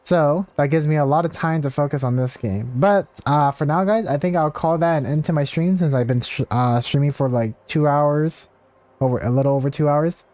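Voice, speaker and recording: A man's voice: on the high side, moderate pace, darkish tone, no echo. A man livestreaming a game, chatting casually with viewers in a rambling, hesitant way. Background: faint game music.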